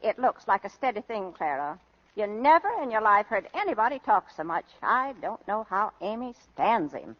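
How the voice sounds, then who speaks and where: Animated, gossipy middle-aged mother. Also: mid-range voice, normal speed. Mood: scornful gossip. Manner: chatty, exclamatory, disparaging. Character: middle-aged mother, gossipy, scornful of daughter's boastful suitor. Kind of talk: 1930s-40s radio comedy acting. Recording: dull old radio broadcast recording.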